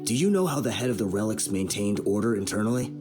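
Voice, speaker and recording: A man acting a weary, measured desert brigand who explains things gravely in a video game. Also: on the low side, lively intonation, over music, close to an everyday voice. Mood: grave, explaining.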